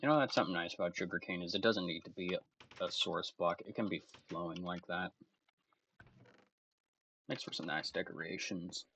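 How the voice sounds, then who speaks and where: A man's voice: deep voice, normal speed, long pauses, fairly bright tone, slight background noise. A man giving casual commentary while playing a game, thinking aloud as he goes. His voice is starting to tire. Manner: thinks aloud, meandering and self-talking. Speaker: let's play gamer.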